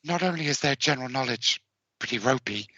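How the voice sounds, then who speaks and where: A man's voice: medium pitch, animated, a little fast, sharp tone, no room sound, highs cut off. A man who co-runs an online quiz, teasing the players in a dry manner. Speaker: quiz co-organizer. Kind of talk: casual chat on a video call.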